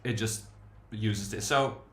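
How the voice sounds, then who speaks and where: A man's voice: lowish voice, a bit bright. Dutch-accented man thinking aloud, roomy sound. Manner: thinking aloud, halting, self-deprecating. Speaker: developer testing a tool on screen. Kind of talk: casual live screen-share chat.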